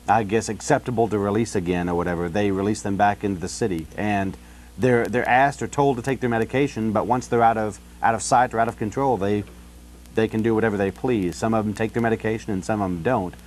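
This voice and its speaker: An American man in a casual phone conversation, talking in a halting, rambling way with frequent "you know". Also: on the low side, a little mellow. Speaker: city council candidate on a phone call. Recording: telephone call.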